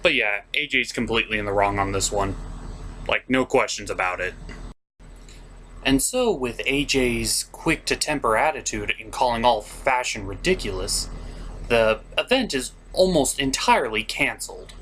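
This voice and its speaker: Animated man reviewing with big pitch swings. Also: moderate pace, a little hiss or hum. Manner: theatrical, sarcastic, exclamatory. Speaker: host of a cartoon review show. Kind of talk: comedic review commentary.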